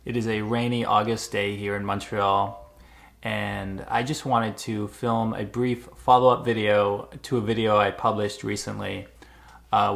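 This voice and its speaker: A Canadian man vlogging, speaking in a measured, thoughtful way with frequent uhs and small restarts. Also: on the low side, vocal fry. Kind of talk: reflective personal vlog. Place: Montreal.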